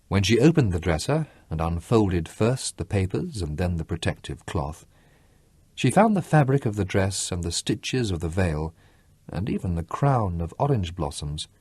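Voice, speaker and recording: Male audiobook narrator: deep register, very varied intonation, scripted literary fiction. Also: a little mellow, breathy edge, dry recording.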